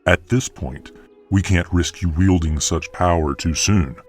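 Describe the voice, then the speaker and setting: An actor playing a stern, commanding lord of a council in a fantasy audio drama. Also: male voice, deep voice, unhurried, faint music behind. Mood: firm.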